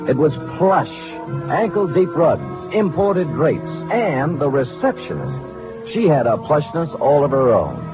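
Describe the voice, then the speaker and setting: An actor playing a breezy, wry, wordy, hard-drinking private detective in a 1940s radio drama. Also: male voice, a little high, narrow, tinny audio. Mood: wry narrating. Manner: wry, glib, theatrically wordy. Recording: thin band-limited old radio recording, faint noise.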